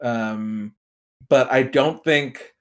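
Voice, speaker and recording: A man's voice: medium pitch, drawn-out words. A man speaking as a podcast guest and film commentator in casual, humorous chat.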